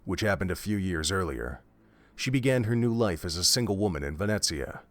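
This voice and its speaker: A man narrating a scripted story in a measured, steady way. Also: sits low, no room sound. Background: faint music.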